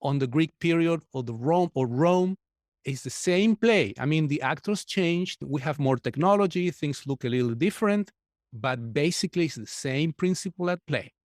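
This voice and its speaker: A man's voice: fairly high pitch, expressive, normal speed, dry recording, studio quality. Earnest Chilean-born man in his fifties. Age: 50s. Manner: earnest, methodical, repeats words while searching. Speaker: guest, mortgage broker. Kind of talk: interview answers.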